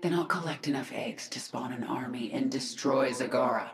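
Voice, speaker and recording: A woman's voice: very deep voice, normal speed, reverberant, a crowd in the background. An actress playing a determined, commanding queen of an alien swarm in a video game, speaking with controlled resolve. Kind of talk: video game cutscene acting.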